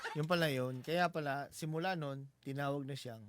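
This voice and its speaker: A man's voice: fairly high pitch, normal speed, heavy background noise. A Filipino man co-hosting a radio show in casual comic banter, chiming in with quips.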